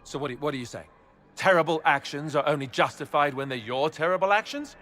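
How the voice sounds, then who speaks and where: An actor playing an earnest, wry warrior in a fantasy video game, with an argumentative edge. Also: male voice, a little high, quick pace, fairly bright tone, natural voice. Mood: indignant. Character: earnest, wry male warrior of a sworn order.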